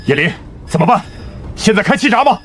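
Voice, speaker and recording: A man's voice: high voice, sing-song, a little fast. A man playing a brash, impatient survivor in a motion-comic drama, blunt and pushy in the way he talks. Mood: urgent.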